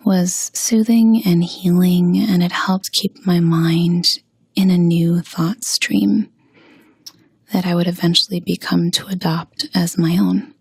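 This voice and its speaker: A woman leading a guided meditation with gentle spoken instruction, pausing slowly and soothingly in a breathy, husky voice. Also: fairly low pitch, no room sound.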